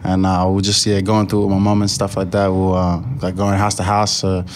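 A young Australian man, a rapper, chatting in a laid-back, halting way full of slang and fillers. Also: deep voice, completely flat delivery, a little hiss or hum. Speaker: rapper guest. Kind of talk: casual podcast interview.

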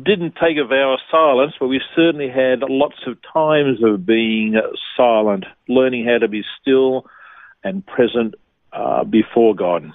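A man from New South Wales with an Australian accent, calmly and earnestly telling his story of faith as a guest. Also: medium pitch, measured pace, no echo. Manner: calm, earnest, devout. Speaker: ministry leader, interview guest. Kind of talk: reflective conversational storytelling. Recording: tinny phone line.

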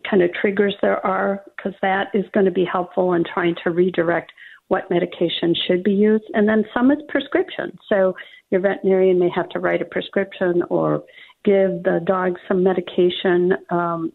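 Gravelly-voiced woman vet on a phone line. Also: on the low side, steady tone, normal speed. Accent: Minnesotan. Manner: practical, filler-heavy advice with many ums. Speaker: veterinarian guest. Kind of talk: radio call-in interview.

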